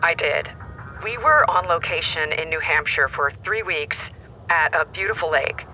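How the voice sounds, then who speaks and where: A woman's voice: deep voice, lively intonation, normal speed, bright, forceful tone, slight background noise, rough recording. Earnest, dramatic leading lady. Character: dramatic leading-lady actress recounting an alien encounter. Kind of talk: TV talk show interview acting in audio drama.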